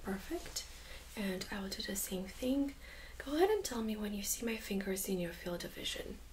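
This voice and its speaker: A young woman speaking softly, mostly in a whisper, gently giving instructions in an ASMR medical role-play. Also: medium pitch, animated, normal speed, slight background noise, poor audio. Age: young adult. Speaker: ASMR creator playing a medical examiner. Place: small indoor room set up as exam room.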